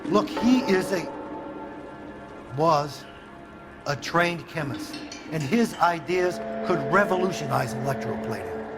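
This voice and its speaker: A man's voice: a little high, animated, slow pace, pauses often, over loud music. Defensive, evasive middle-aged businessman. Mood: defensive, indignant. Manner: hesitant, guarded, self-justifying. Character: defensive, evasive businessman, company boss under questioning. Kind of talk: video game dramatic acting.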